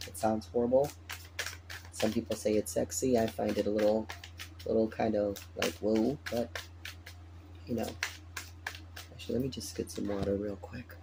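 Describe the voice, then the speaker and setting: Tired raspy-voiced man reading tarot. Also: lowish voice, flat delivery, moderate pace, long pauses, background noise. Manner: chatty, repeats phrases, laughs often. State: tired, morning voice. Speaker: tarot reader. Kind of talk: casual spoken tarot reading.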